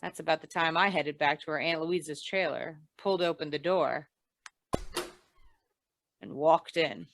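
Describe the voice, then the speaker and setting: A woman's voice: low-pitched, unhurried, long pauses, a little hiss or hum. A woman playing a wry, hard-boiled insurance investigator in an old-time radio drama, with dry, tough delivery. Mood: wry, tense narration.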